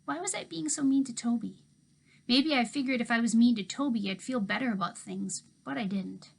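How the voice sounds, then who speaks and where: A woman teacher reading a children's novel aloud to her class with expressive storybook delivery. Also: fairly high pitch, a little fast, vocal fry, breathy edge.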